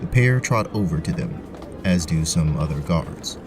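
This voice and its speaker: A man's voice: a bass voice, expressive, moderate pace, music in the background. A man narrating a scripted tabletop fantasy story as its storyteller. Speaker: narrator, game master.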